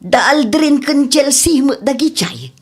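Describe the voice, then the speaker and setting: A woman's voice: high voice, animated, a little slow. A woman playing a nosy, doting middle-aged mother in an audio comedy-drama, with an Ilocano accent and a drawling, gossipy delivery. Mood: gossipy, pitying.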